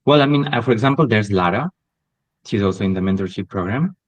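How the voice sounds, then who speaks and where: Man, Catalan accent, native Catalan speaker, casual interview, low pitch, hesitant. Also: animated, fast. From Catalonia, Spain. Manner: hesitant, many ums and restarts. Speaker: podcast guest.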